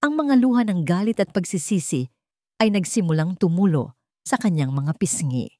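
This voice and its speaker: A woman's voice: on the low side, lively intonation, moderate pace, dark tone, no room sound, clean studio sound. A woman reading scripted fiction as a dramatic storyteller. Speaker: story narrator.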